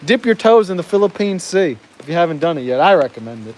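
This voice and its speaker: A fairly high-voiced American man chats loosely on an outdoor live stream, tripping over his words and laughing now and then. Rain patters steadily in the background. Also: very animated, quick pace, a little mellow. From United States. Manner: chatty, laughs often, stumbles over words. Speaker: vlogger reading live chat. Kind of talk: casual live stream chat. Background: rain falling.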